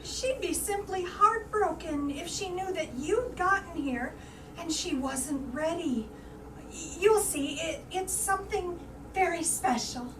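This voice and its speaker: A woman's voice: very high-pitched, lively intonation, takes it slowly, exaggerated voice. A woman playing an earnest, flustered housemaid with a hesitant delivery in a staged play reading. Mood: anxious, earnest. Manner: hesitant, repeating words, earnest. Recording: distant mic, echoey room, background noise.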